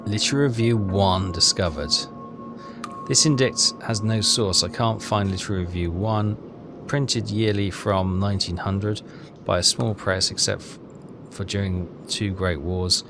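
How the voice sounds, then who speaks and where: Low-voiced man playing through a game. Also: fairly even intonation, unhurried. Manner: hesitant, thinking aloud. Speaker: game walkthrough player. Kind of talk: casual game commentary, reading text aloud. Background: loud game music.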